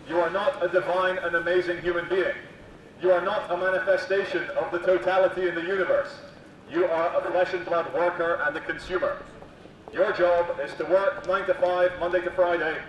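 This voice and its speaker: A man's voice: on the high side, slight background noise, pitched above the performer's own voice. A man playing a mock authoritarian city announcer through a megaphone, preaching obedience in a satirical public address. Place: outdoor city plaza. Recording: echoing megaphone outdoors, far off, muffled.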